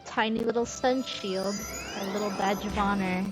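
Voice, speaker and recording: A woman's voice: medium pitch, steady tone, moderate pace, rough recording. A woman streamer giving casual, easygoing gameplay commentary, thinking aloud as she plays. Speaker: game streamer. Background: game music.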